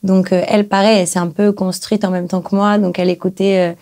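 A young woman from Brazil, a native Portuguese speaker, chatting as a guest singer in a small music studio, relaxed, with many pauses. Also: medium pitch. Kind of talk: casual interview chat. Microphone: studio mic on stand.

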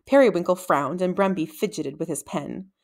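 A middle-aged woman narrates a children's novel. Her voice sits a little low for a woman. She reads deliberately, leaving small pauses. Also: a little mellow. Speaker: read-aloud narrator. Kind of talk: children's novel read aloud. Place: small room at home, indoors. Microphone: desktop microphone in front of her.

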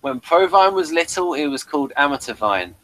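A man's voice: on the high side, very animated, fairly quick, fairly bright tone, low-quality recording. A man chatting casually as he co-hosts a review podcast. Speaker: podcast co-host.

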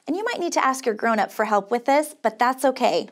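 A woman with an American accent and a high voice guides young children through a craft. She sounds upbeat and encouraging, like a camp counselor, explaining each step simply and offering sing-song praise. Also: expressive, fairly quick. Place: small indoor studio set.

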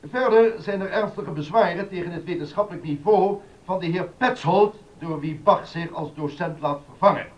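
A fairly high-voiced council chairman acted by a man in a period docudrama, formal and declamatory. He sounds off-mic, with a dull top end. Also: normal speed, few pauses, close to an everyday voice. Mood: formal, grave. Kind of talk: Dutch docudrama acting. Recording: dull sound, distant from microphone.

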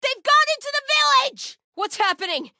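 High-voiced, stern cult soldier. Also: female voice, expressive, normal speed, vocal fry, dry recording, studio quality, full character voice. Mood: urgent, shouting. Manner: solemn, stern, swinging from hushed muttering to shouted alarms. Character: grim devout female cult soldier, hostile and zealous. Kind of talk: video game combat voice lines.

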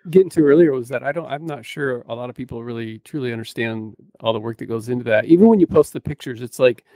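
Dry-sounding man, halting casual chat. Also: mid-range voice, dark tone, no echo. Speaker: podcast co-host. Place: cluttered room at home, video call. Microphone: close microphone on boom arm.

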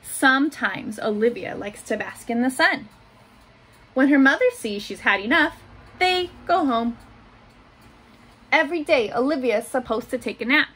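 A woman in her thirties reading a children's picture book aloud in simple, deliberate phrases, adding little asides for young listeners. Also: sits high, animated, fairly bright tone, husky. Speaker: school staff member reading aloud to pupils. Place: small home office room, indoors. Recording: noticeable room noise behind voice.

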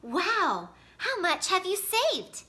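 High, bright woman-played upbeat superhero. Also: huge pitch swings, slow pace, exaggerated voice. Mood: encouraging. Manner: emphatic, encouraging. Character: upbeat, encouraging male cartoon superhero.

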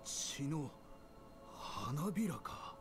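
An actor playing a grim, urgent village survivor in a dark fantasy game. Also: male voice, fairly high pitch, very animated, very slow, music in the background, exaggerated voice.

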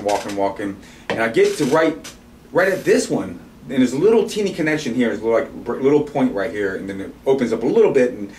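A middle-aged man hosting a podcast, telling a casual story in an animated, excitable way, swearing freely and restarting sentences. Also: fairly low pitch. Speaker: podcast host. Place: indoor studio with camo backdrop, at a table. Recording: roomy and distant with faint noise.

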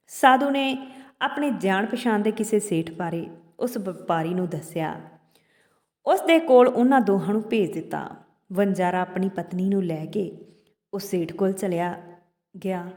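Woman storyteller in long, hall-like reverb. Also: mid-range voice. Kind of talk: narrated moral folk tale.

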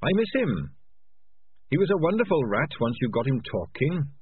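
A man voicing a small, earnest young male rat in a storybook, soft-spoken and sincere, recorded with a phone-like narrow sound. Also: mid-range voice, sing-song, moderate pace, husky, voice pushed up. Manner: soft, sincere, slightly raised.

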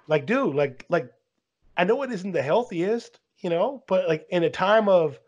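A man's voice: high voice, lively intonation, measured pace, a little mellow, lo-fi sound. A man co-hosting a casual podcast, rambling with lots of "like" and "dude" as he spins a story. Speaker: podcast co-host.

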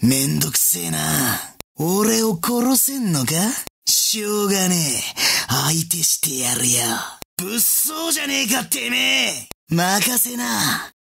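A man voicing a sadistic cat villain in a video game, with a gravelly, taunting gangster drawl and exaggerated anime delivery. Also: sits high, lively intonation, slow, pushed and bright, slight background noise, exaggerated voice. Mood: taunting, irritated. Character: sadistic, sneering cat villain with poison claws, male.

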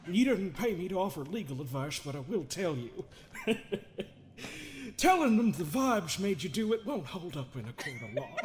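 Sardonic male therapist, high voice, extremely animated, theatrical, folksy, mocking, comedic game dub. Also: moderate pace, slight background noise. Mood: sly, amused. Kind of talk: improvised comedic fandub of a horror game.

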